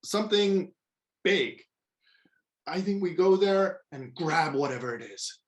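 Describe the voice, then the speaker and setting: A man's voice: high voice, lively intonation, unhurried. A man acts out a put-upon young male lackey in a comedy table read. He speaks earnestly and deferentially, with a hesitant edge.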